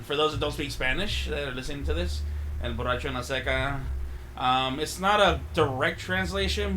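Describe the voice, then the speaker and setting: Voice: medium pitch, noisy background. A tipsy man co-hosting a casual podcast, rambling, swearing freely and laughing a lot. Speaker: podcast co-host. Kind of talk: casual chat.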